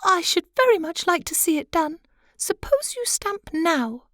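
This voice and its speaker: A woman acts out a little female butterfly, an excitable storybook wife who nags. Her voice is very high and fluttery, leaping about in pitch. It is recorded dry. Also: unhurried, dry recording, exaggerated voice. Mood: daring, scornful. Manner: fluttery, emphatic.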